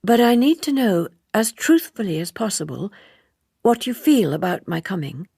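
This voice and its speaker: Woman voicing an earnest, husky young woman typist. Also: mid-range voice, big pitch swings, moderate pace, a little mellow, no room sound, clean studio sound, voice pushed up, exaggerated voice. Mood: anxious, insistent. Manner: urgent, direct, formal.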